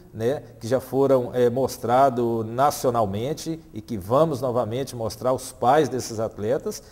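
A man in his forties gives a formal interview statement from an office, pausing often on filler sounds. His voice is mellow and dark, and the lapel-miked sound carries a long reverb tail. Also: medium pitch. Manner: formal, many hesitation fillers. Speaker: club legal representative. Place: office in front of a red wall. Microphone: lapel mic.

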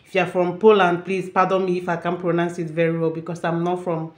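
A woman's voice: lowish voice, monotone, brisk, a little mellow. Effusive woman hosting a reaction vlog. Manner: effusive, exclaiming. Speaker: reaction video host. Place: room at home. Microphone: wired earphone mic. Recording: echoey room, comedy clip playing behind.

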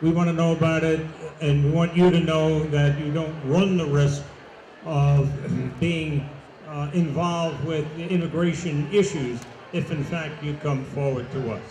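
A man's voice: fairly high pitch, fairly even intonation, a little slow, a little mellow, roomy sound, poor audio. An older man, an official, addressing the public from an outdoor stage. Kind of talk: public speech. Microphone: handheld microphone over PA. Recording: faint background noise.

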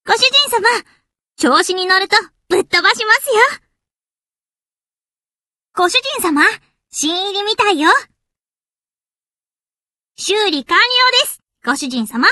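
A cheeky little anime game girl, extremely high and bright. Her delivery is drawn-out and swoops playfully, cutesy and mock-polite like an impish maid. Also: no echo, studio quality, exaggerated voice. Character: cheeky, quirky young warship girl in maid-like servility. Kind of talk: Japanese anime game character lines.

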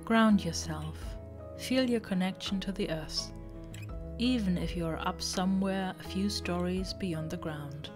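A woman narrates a guided meditation in a fairly low voice. She gives her instructions slowly and deliberately, one short phrase at a time. Relaxing music plays clearly underneath her. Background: relaxing background music.

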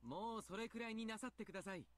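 An actor playing a mild-mannered, protective young doctor in a visual novel, speaking politely but firmly. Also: male voice, sits high, lively intonation, rapid-fire, slight background noise. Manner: polite, firm. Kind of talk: Japanese visual novel voice acting.

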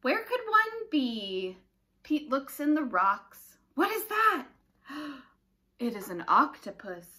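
Young woman doing story time for little kids, high voice, really expressive, takes it slow. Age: young adult. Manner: expressive, slow, dramatic delivery for young children. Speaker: children's story time reader. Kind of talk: animated read-aloud of a picture book. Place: living room indoors, by a brick fireplace.

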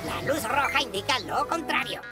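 Didactic cartoon teacher, high pitch, animated intonation, children's educational show. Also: female voice, normal speed, music in the background. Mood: explanatory. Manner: didactic, clearly explanatory.

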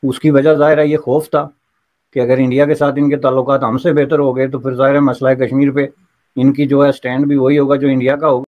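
A man gives measured political commentary. His voice is mid-pitched and the audio is slightly dull, as from a video call. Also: flat delivery. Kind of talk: news analysis.

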